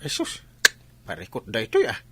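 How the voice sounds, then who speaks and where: Man acting a husky, wildly animated comic Ilocano villager. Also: fairly low pitch, moderate pace, heavily acted. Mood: bewildered. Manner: stammering, exclaiming, animated. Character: comic mischievous village man, flustered when scolded. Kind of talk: Ilocano comedy radio drama acting.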